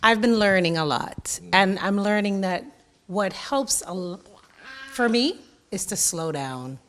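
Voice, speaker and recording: Jamaican-born woman guest, calm and reflective, medium-pitched voice, slight background hiss. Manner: reflective, unhurried, speaks of slowing down. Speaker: interview guest, college IT teacher. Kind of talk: relaxed conversational interview answers.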